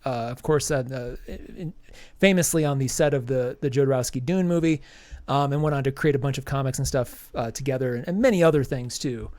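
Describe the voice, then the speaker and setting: A man's voice: mid-range voice, a little fast, a little mellow, no echo. A man hosting a podcast, casually reviewing a comic with a stuffy nose and lots of ums and restarts. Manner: rambling, many ums and restarts.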